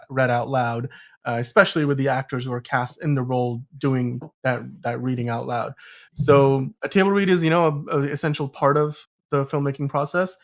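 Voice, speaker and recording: A man hosting a podcast, explaining film news in a casual, halting way with pauses mid-sentence. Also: mid-range voice. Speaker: podcast host.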